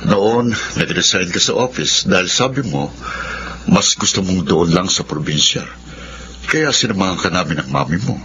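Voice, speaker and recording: Man playing kindly filipino dad in a radio drama, low voice, noisy muffled audio. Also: lively intonation, normal speed, pushed and bright. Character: kindly, indulgent father of a grown son. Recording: dull lo-fi audio with clear noise.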